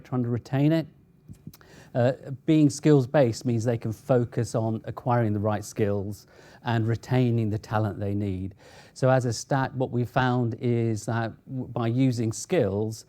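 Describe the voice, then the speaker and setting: A man speaking as a panelist in a measured, explanatory way. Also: mid-range voice, dark tone. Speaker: enterprise architect, panelist.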